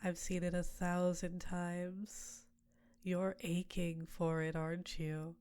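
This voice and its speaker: A woman voicing an ancient succubus queen in an audio roleplay, talking slowly and sultrily with soft whispers. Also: lowish voice, little pitch movement, clear, resonant tone. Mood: seductive.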